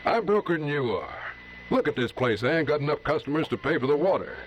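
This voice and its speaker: Grumpy broke diner owner in the old west, expressive voice, faint hiss, old radio show. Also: male voice, fairly high pitch, fast, highs cut off. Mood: exasperated. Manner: gruff, exasperated. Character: grumbling, hard-up frontier eatery owner, middle-aged man. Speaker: restaurant owner. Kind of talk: 1950s radio drama acting.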